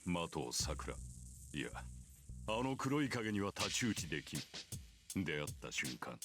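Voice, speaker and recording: A cold, pragmatic priest from a visual novel. His voice is low and moves widely in pitch as he lays out matters with measured authority. He is composed and grim, with music playing clearly behind him. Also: big pitch swings. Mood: grave warning. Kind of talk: Japanese visual novel voice acting.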